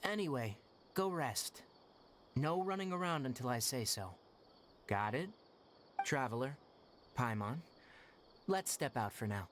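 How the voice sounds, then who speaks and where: A man voicing a brisk young forest ranger in a video game, dry and gently scolding. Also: medium pitch, lively intonation, noisy background, natural voice. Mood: stern. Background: game music.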